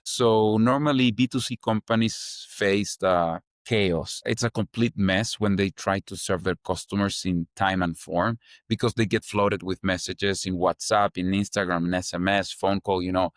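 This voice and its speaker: A young Chilean man with a fairly low voice talks through his story in accented English, loose and chatty, leaning on fillers. The sound is close and dry, with no room around it. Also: no room sound. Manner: loose, chatty, strings ideas with "like" and "kind of". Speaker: startup founder guest. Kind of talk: casual interview answers.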